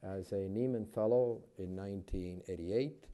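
Low, mellow, deliberate older man. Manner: halting, deliberate phrasing. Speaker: moderator, professor introducing a guest. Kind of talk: formal podium introduction speech. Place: large auditorium stage, indoors. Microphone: podium microphones.

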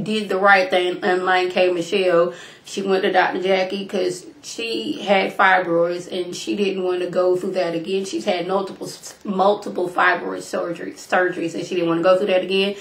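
A woman's voice: fairly low pitch, a bit bright, reverberant, low-quality recording. Congested woman chatting to her webcam. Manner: chatty, slangy, addresses viewers as y'all. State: congested and slightly hoarse from illness. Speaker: reality TV recap vlogger. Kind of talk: casual recap talk to camera. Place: small room at home, indoors. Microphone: webcam at desk distance.

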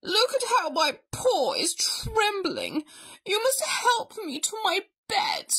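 A woman voicing a big, grumpy male bear in a children's storybook, raspy, wheezy and theatrically mournful about being ill. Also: very high voice, very animated, slow, pushed and bright, pitched above the performer's own voice, exaggerated voice. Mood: weakly pompous. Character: big gruff sick bear, male, grumpy, self-pitying, dramatic. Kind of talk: children's storybook read-aloud.

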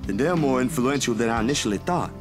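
A man's voice: mid-range voice, expressive, fast, voice pushed up, natural voice. A man voicing a friendly, easygoing young man in a visual novel, playful and modest. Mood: thoughtful. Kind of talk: visual novel dialogue read aloud. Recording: music playing behind the voice.

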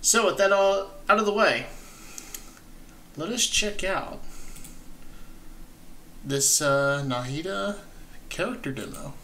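A young adult man hosting a gaming reaction, talking casually and enthusiastically in halting phrases, close to the mic. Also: high voice, sharp tone, slight background noise. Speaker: gaming channel host. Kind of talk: reaction commentary. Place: small indoor streaming room. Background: trailer music at times.

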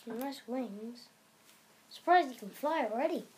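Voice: medium pitch, lively intonation, a little slow, darkish tone, a little hiss or hum. A child improvising role-play commentary while playing a video game, hesitant and coaxing, recorded in a living room in front of a TV. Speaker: player making a game video. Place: living room, filming a TV screen.

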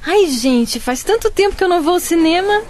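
An actress playing a cheeky, sulky young adult daughter in a radio drama. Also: female voice, very high voice, lively intonation, brisk, darkish tone, slight background noise.

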